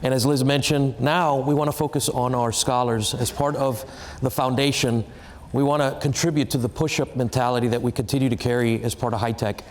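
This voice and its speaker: A man moderating a panel on stage, a self-described storyteller who talks in halting restarts. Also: medium pitch, steady tone. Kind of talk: speaking on stage at an event. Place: large hall with a live audience. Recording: reverberant hall, faint noise.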